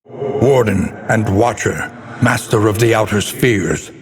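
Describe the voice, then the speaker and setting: An actor playing a grave, brooding, scholarly older male narrator in a gothic video game, speaking with solemn, theatrical, measured delivery and literary diction. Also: fairly low pitch, in a large hall. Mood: solemn, proclaiming.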